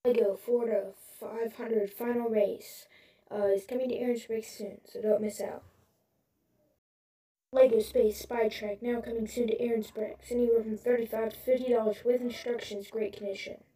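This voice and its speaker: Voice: medium pitch, flat delivery, moderate pace, warm tone. A voice reading a short scripted promotional announcement. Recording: distant, very muffled, echoey sound.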